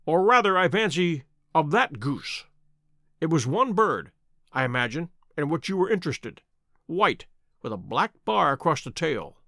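A man voicing a self-assured Victorian detective in an audiobook, measured, stern and dryly mocking. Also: fairly high pitch, sing-song, pushed and bright, dry recording, clean studio sound, full character voice. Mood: wry, teasing. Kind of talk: dramatic audiobook character reading.

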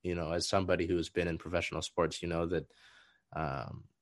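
A man with a very low voice hosts an interview. He asks long questions that loop back on themselves, with frequent fillers and restarts. The sound is dry and close. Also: steady tone, a little fast, dry recording. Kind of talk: conversational podcast interview.

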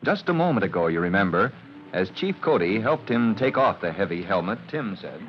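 A man's voice: lowish voice, very animated, brisk. A man announcing an old-time radio adventure serial in a theatrical, urgent style. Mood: dramatic, urgent. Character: male announcer of a children's firefighter adventure serial. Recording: dull, hissy vintage radio recording.

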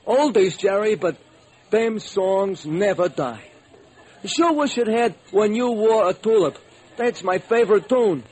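An actor playing an eager, gullible, good-hearted ex-crook in an old-time radio drama, with folksy, excitable, slangy speech. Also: male voice, sits high, measured pace, breathy edge, heavily acted. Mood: proud, cheerful. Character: eager, gullible, good-hearted ex-burglar diner owner, male. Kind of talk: old-time radio comic sidekick acting. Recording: slightly noisy old radio recording.

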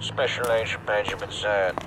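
An actor as a deep, formal bureau chief. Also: male voice, steady tone, lightly acted. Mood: formal, grave. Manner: formal, measured, official. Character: formal, authoritative male federal section chief briefing an agent. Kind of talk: audio drama dialogue.

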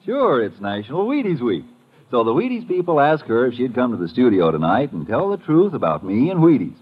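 Folksy American male announcer for vintage radio ads, rough-edged voice, extremely animated intonation. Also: medium pitch, fairly quick. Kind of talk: 1950s live radio advertising pitch. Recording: dull, muffled old radio recording.

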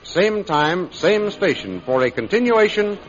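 A man's voice: high voice, sing-song, measured pace, slight background noise. A man announcing a 1930s radio serial in an emphatic, theatrical, scripted style. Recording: muffled old radio recording. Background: music.

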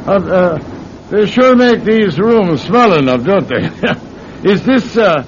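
A man's voice: lively intonation, slow. A man acting in an old-time radio drama as a sly thief posing as a folksy, fatherly priest, with hesitant, chuckling delivery. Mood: flustered, ingratiating. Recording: muffled, noisy old radio recording with rumble.

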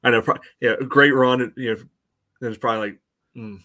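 A man co-hosting a soccer podcast, giving casual analysis in a halting way, peppered with "you know". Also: medium pitch, fairly even intonation, sharp tone, dry recording. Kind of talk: casual sports analysis chat.

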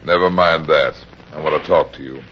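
An actor playing a weary, hard-boiled private detective, terse and grave, in the style of 1940s radio. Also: male voice, very deep voice, normal speed, a little hiss or hum. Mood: cool, stern. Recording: dull old radio recording with music.

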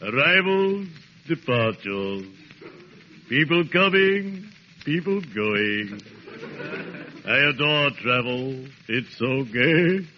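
A man's voice: medium pitch, lively intonation, extremely slow, pauses often. An actor playing a genteel, dignified, funereal man with pompous, theatrical delivery in old radio comedy. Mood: solemn, grandly polite. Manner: pompous, theatrical, savoring each word. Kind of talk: 1940s-50s radio comedy acting. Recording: dull noisy old radio recording.

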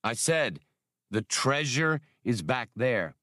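A man's voice: medium pitch, very animated, slow pace, bright, forceful tone, no room sound, clean studio sound, heavily acted. A man reading an audiobook, playing a roguish outlaw pilot who talks in terse, challenging bursts. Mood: insistent. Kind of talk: audiobook character dialogue.

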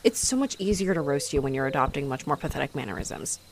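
Snarky, animated vampire woman, played by an actress. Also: rumbling low, fast, slight background noise. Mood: flustered, defensive. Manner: mocking, sarcastic, theatrical, swearing. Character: snarky, haughty female vampire, flustered by her hunter rival. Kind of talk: roleplay audio drama addressed to the listener.

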